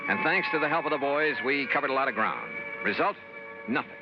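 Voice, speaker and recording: Male actor as 1950s American detective, clipped, matter-of-fact, swinging intonation, old radio sound with loud music. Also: medium pitch, animated, brisk, lightly acted. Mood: brisk narrating. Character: cool, skeptical male insurance investigator. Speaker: lead actor and narrator. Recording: muffled vintage radio recording with loud music.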